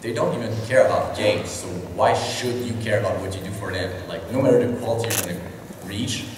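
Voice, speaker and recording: A man's voice: on the low side, brisk, noisy background. A young man with a French accent, earnestly telling his story as an indie studio founder and sometimes searching for words. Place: large convention hall. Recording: echoey convention hall from far off.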